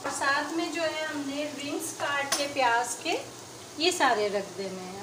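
High-voiced woman giving cooking steps. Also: measured pace, noisy background. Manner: chatty, explains each step, frequent "ji" fillers. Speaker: home cooking channel host. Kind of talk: casual cooking demonstration. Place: home kitchen at the stove. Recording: echoey kitchen with loud sizzling.

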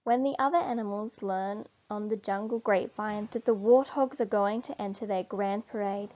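A woman reading a children's storybook aloud expressively, with deliberate emphasis. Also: medium pitch, darkish tone, dull audio. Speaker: storybook reader.

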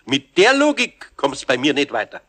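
Older craftsman, Bavarian accent, bright forceful voice, very animated, reproving, radio drama. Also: mid-range voice, normal speed. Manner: grumbling, reproving. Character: grumbling, sensible older male craftsman. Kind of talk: radio play acting.

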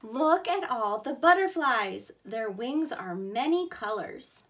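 High-voiced woman teacher reading to little children. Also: animated, takes it slowly, a bit bright. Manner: simple, clearly emphasized words for small children. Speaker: preschool teacher. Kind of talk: read-aloud for young children.

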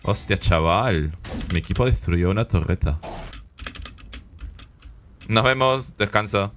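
A young man from Lima with a Peruvian accent, chatting and laughing while streaming a game, swearing and getting excited. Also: fairly low pitch, slow pace, a little hiss or hum. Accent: Peruvian Spanish from Lima. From Lima, Peru. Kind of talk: casual gameplay commentary. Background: game music and sounds.

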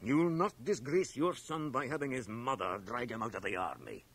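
A man playing a grave, stern father with a gravelly, husky voice in a radio drama. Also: medium pitch, expressive, moderate pace, a bit bright, full character voice. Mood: firm, grave. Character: grave, stern father ruling his household. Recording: 1980 radio drama from home cassette transfer.

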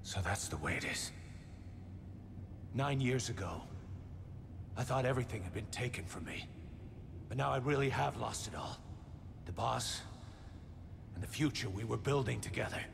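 An actor playing an embittered middle-aged soldier, betrayed and grimly vengeful, brooding in a gravelly voice in dramatic game cutscene dialogue. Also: male voice, medium pitch, lively intonation, moderate pace, pauses often. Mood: bitter, wounded. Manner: bitter, brooding. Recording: long reverb, clear background noise.

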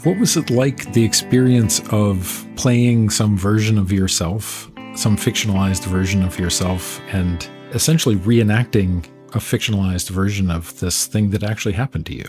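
A man hosting an interview podcast in a measured, conversational way. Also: fairly low pitch, darkish tone, soft background music.

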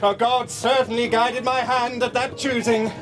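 A man's voice: very high-pitched, level tone, normal speed, music in the background. A man playing a gallant, glory-loving lord of old Japan in a radio drama, declaiming grandly. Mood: exultant.

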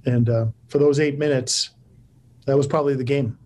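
A man's voice: medium pitch, steady tone, moderate pace, a little mellow. A man in his forties, a hockey coach answering postgame press questions over a laptop video call from his office, plain-spoken with frequent restarts and fillers. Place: office with cinder-block walls.

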